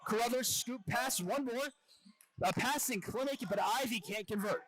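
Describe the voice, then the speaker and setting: A man's voice: extremely high pitch, moderate pace, fairly bright tone, rough recording. A man doing live play-by-play commentary of a basketball game for a TV broadcast.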